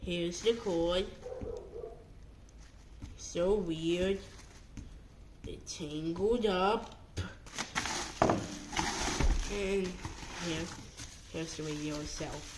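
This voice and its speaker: A noisy home recording with clicks carries this voice. The speaker presents an unboxing casually, at a medium pitch for a woman, talking in short and halting phrases. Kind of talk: casual unboxing vlog talk.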